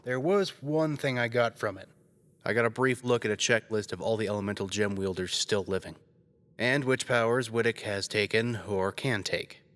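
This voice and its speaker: A man's voice: fairly low pitch, steady tone, normal speed, fairly bright tone, lightly acted. A man playing a serious, analytical member of an adventuring gang in a fantasy audio drama with long reverb.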